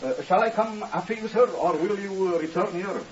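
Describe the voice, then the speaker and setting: A man's voice: sits high, brisk, close to an everyday voice. An actor playing a meek, flustered, mournful man in a 1950s radio drama, hesitant and fussily deferential. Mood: anxious, hesitant. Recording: hissy, muffled, distant 1950s radio recording.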